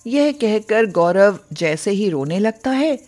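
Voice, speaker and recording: Female storyteller, medium pitch, unhurried scripted narration, faint music underneath. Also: big pitch swings.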